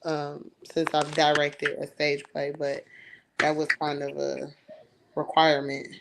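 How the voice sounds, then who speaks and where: A woman who directs stage plays, talking in a podcast conversation in a measured, hesitant way with fillers. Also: sits low, completely flat delivery, vocal fry, background noise.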